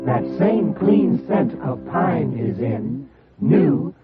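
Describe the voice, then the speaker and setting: Slow, lilting male radio ad voice. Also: on the high side, very animated. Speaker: radio jingle and ad voice. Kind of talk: slow musical radio advertisement. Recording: lo-fi radio recording, loud music.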